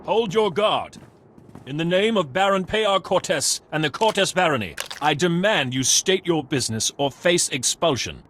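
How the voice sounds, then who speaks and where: An actor playing a stiff, dutiful guard captain of a noble house in a video game, formal and military. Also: male voice, fairly high pitch, lively intonation, moderate pace. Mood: stern. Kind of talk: scripted video game acting. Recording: loud gunfire and battle noise behind.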